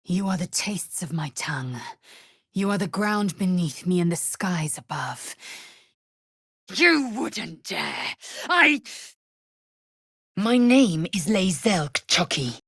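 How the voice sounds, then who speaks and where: Game role: imperious female alien warrior, deep for a woman, deliberate pace, haughty, clipped and commanding. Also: lively intonation, a bit bright, breathy edge, no echo, studio quality, full character voice. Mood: solemn, hushed, then fierce, intense. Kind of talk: video game character voice lines.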